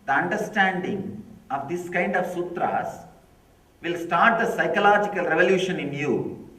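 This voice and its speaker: A middle-aged man with Tamil as his first language, giving a spiritual discourse slowly and emphatically, repeating key phrases. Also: sits high, fairly bright tone. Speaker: spiritual teacher. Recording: echoey hall from far off.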